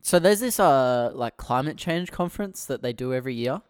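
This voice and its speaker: Wry young podcast co-host. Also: male voice, mid-range voice, lively intonation, normal speed, clean tone, no echo. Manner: dry, wry asides. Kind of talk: casual political chat.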